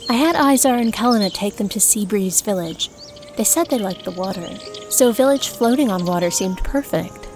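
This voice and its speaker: A caring elf mother played by a woman in a fantasy audio drama. Her mid-pitched voice is earnest and practical, with music clearly playing behind. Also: expressive, moderate pace, lightly acted. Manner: earnest, explanatory.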